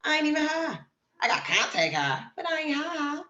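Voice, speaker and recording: A woman's voice: sits high, moderate pace, echoey room, highs cut off. A woman co-hosting a podcast, reading news items and reacting in casual, emphatic chat. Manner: animated, emphatic storytelling. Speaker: podcast co-host.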